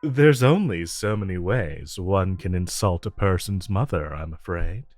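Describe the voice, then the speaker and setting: A smug, flirtatious supervillain played by a man in a romantic audio drama, with a low voice and an unhurried, coaxing delivery. He teases as he talks, stretching out his phrases. The voice is close and dry over music. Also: lively intonation, a little mellow, no echo. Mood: teasing, wry. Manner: teasing, coaxing, drawn-out phrasing. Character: flirtatious, smug infamous supervillain, a teasing regular customer. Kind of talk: romantic audio roleplay, addressed to listener.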